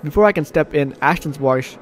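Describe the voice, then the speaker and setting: A man doing let's play commentary, reading game text aloud in an animated, slightly stumbling way. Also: on the high side, fairly quick. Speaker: video game streamer. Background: game music.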